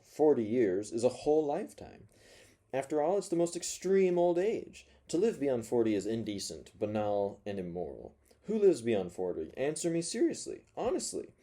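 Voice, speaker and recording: A man reading aloud as a spiteful, self-mocking forty-year-old who rants from his corner, swinging between low mutters and loud sardonic outbursts. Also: lightly acted. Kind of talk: first-person audiobook reading.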